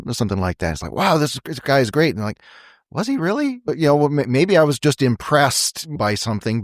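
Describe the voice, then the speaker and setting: A man of middling pitch reflects aloud on a faith talk show, his intonation rising and falling expressively. He asks thoughtful rhetorical questions. The recording is close and dry. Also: dry recording. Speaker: podcast co-host. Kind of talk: reflective discussion.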